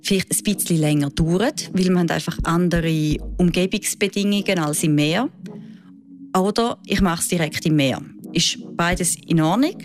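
A woman with a Swiss German accent, a diving instructor who answers interview questions in a lively, chatty way with frequent fillers. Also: lowish voice, moderate pace. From Switzerland.

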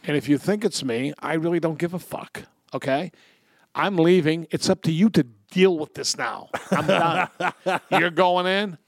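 A man co-hosting a podcast, telling crude comedic stories in a profane, animated way with frequent laughs. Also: fairly high pitch, normal speed, creaky, no echo, clean studio sound. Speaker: podcast co-host. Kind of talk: crude comedic storytelling and banter.

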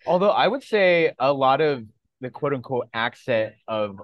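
A man hosting a comedy podcast, relaxed and jokey in casual chat, often answering with "right". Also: medium pitch, moderate pace, dry recording, lo-fi sound. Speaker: podcast host.

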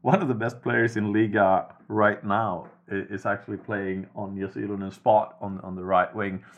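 A man hosting a casual podcast chat about hockey, talking things through at an even, measured pace. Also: on the low side, muffled recording. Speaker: podcast host.

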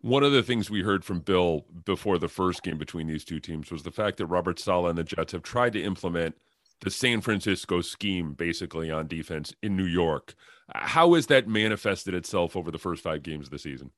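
A man hosting a football interview, posing measured, fully phrased questions. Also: deep voice, animated, fairly bright tone, no echo, studio quality. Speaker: sports podcast host and interviewer.

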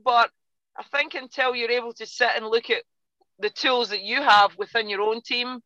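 A woman's voice: fairly high pitch, bright, forceful tone, dry recording, dull audio. A woman from Scotland with a Scottish accent, guest coach on a podcast, chatting loosely with many restarts and fillers. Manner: chatty, frequent restarts and fillers, laughs easily. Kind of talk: casual podcast interview.

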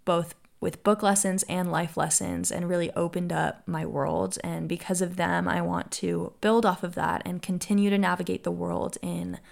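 A woman's voice: lowish voice, little pitch movement, normal speed, gravelly, hardly any pauses. A young American woman hosting her own podcast, answering listener questions in an upbeat, earnest way. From United States. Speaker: podcast host. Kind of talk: solo podcast Q&A.

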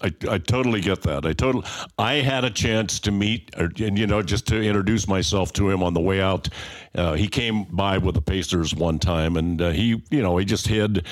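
A man's voice: deep voice, moderate pace, no room sound. A man chatting casually as a podcast co-host, affirming and often repeating his phrases. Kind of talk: casual chat.

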